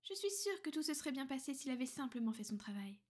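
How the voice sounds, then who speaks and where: A woman voicing a powerful, protective, ancient vampire noblewoman in an ASMR roleplay, soft-spoken and breathy, formal and measured, slipping into whispers. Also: high-pitched, sing-song. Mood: cool, aggrieved. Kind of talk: French ASMR girlfriend roleplay.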